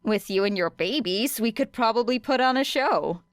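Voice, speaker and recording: A woman's voice: on the high side, animated, normal speed, no room sound, pitched above the performer's own voice, natural voice. A woman voicing a scrappy, determined teenage girl in an audiobook, casual and blunt in manner. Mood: persuasive.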